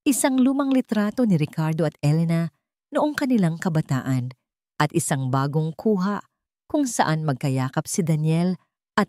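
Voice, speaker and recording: Low-voiced Filipino woman narrating drama. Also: dark tone, no echo, studio quality. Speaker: story narrator. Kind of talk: scripted dramatic story narration.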